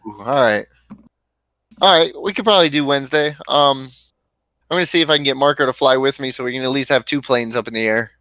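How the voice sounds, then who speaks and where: Late-20s man, teasing stream host, bright and smooth, close dry sound. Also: medium pitch, fast, no echo. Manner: joking, teasing. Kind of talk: casual livestream chat.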